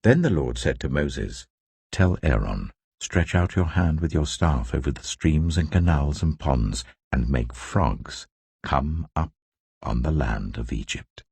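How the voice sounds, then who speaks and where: A man reading scripture as a solemn, deliberate audio Bible narrator, in a scripted dramatic storytelling style. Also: extremely low pitch, animated, creaky, darkish tone, breathy edge, no room sound.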